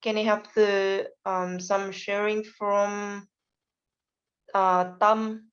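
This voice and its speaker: A woman teacher speaks slowly and patiently on a video call, pausing often with polite fillers. The sound is dull and lo-fi. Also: mid-range voice, little pitch movement, fairly bright tone. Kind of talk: online class, calling on students.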